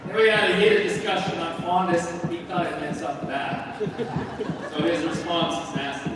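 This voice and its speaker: A man in his forties speaks as a head coach, loose and joking, with plenty of "uh" between thoughts. His fairly high voice carries through a school gym with a long echo, picked up from well across the room. A steady crowd murmur sits behind him. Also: normal speed, heavy background noise. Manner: joking, informal, many "uh" fillers. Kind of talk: senior night speech to an audience. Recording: echoey gym from far off with crowd.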